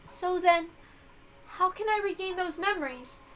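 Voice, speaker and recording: A woman voicing a feeble, innocent young witch who speaks softly and haltingly in an earnest, sad way. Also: very high voice, slight background noise, pitched above the performer's own voice.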